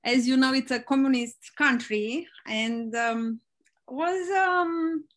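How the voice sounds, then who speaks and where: A woman from Romania, a life coach speaking as an interview guest, hesitant and pausing often to search for words. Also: sits high, fairly bright tone. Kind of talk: casual interview.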